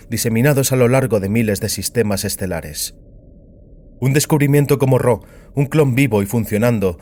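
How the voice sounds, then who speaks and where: A man narrating a scripted dramatic story in a solemn, deliberate manner. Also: medium pitch, a little mellow. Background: music.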